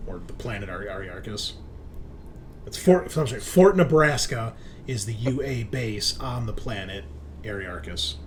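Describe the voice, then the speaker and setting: A man's voice: lowish voice, animated, normal speed. A man narrating a tabletop roleplaying game as game master, explaining the setting in a conversational way. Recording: clearly noisy background.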